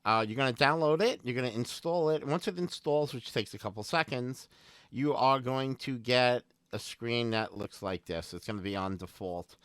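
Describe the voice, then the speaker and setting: A man's voice: mid-range voice, normal speed, a bit bright, clear, resonant tone, no room sound. A man hosting a tech channel, giving an informal tutorial demonstration straight to viewers and repeating his points. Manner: informal, repeats himself, addresses viewers directly. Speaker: tech and gaming channel host.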